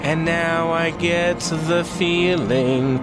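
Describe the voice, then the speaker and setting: A man's voice: a little high, fairly even intonation, drawn-out words, over loud music, poor audio. A man acting as a smitten commanding starship officer in a musical audio drama. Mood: elated singing.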